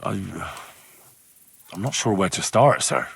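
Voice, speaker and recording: A young village lad in a game drama, earnest and plain-spoken. His voice sits fairly low, questioning and direct, as he investigates for his lord. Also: big pitch swings, unhurried, vocal fry, husky, exaggerated voice. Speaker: voice actor in a video game. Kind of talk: dramatic video game dialogue.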